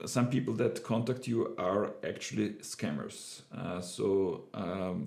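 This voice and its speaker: A man's voice: fairly low pitch, creaky, darkish tone. A middle-aged man with a German accent telling a personal business story in a casual, hesitant way, with many fillers and restarts. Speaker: entrepreneur sharing his experience.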